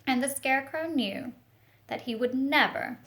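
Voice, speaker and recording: A woman reading a picture book aloud to young children, expressive and speaking to them directly with teacher-like questions and asides. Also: sits high, moderate pace. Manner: expressive storytelling, talks directly to young listeners.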